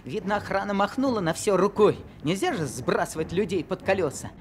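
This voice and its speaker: An earnest teenage boy tells his own story in an old radio play. His voice sits fairly high, and his narration is measured and sincere. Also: expressive, a little hiss or hum. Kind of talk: scripted narration in radio drama.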